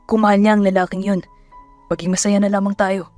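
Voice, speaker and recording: A woman voicing a mild middle-aged father in an audio drama, speaking in a calming, resigned way. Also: medium pitch, brisk, faint music behind, natural voice. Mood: placating. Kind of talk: Tagalog audio drama acting.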